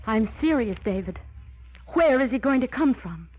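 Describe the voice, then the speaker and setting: An actress playing a scientist's worried, principled daughter in an old-time radio drama, pleading with foreboding. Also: female voice, on the high side, animated, moderate pace, natural voice. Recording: muffled vintage radio recording.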